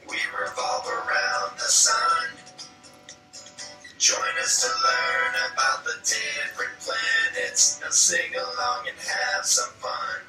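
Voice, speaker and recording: A singer delivers an educational children's song at an unhurried pace. The voice sounds distant and echoey, with clear music behind it. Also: sits high, huge pitch swings, pushed and bright.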